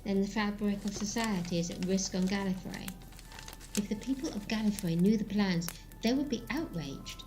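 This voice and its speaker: An actress playing a stern, disapproving elderly matriarch in an audio drama, severe and formal in manner. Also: female voice, mid-range voice, moderate pace, a little mellow, slight background noise, lightly acted. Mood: grave.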